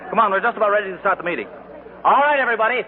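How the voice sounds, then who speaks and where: An actor playing a plain-spoken, confident store union organizer in a 1940s radio drama, on an old broadcast recording. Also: male voice, sits high, animated, very fast, big-room sound, narrow, tinny audio. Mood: confident, earnest.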